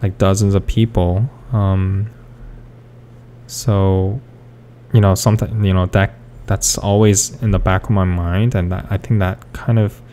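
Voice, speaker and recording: Young man from South Korea, Korean native speaker, for a rambling speed-paint voiceover: unhurried, with a mellow, darker tone. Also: low voice, level tone, a little hiss or hum. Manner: hesitant, full of fillers and restarts. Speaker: artist.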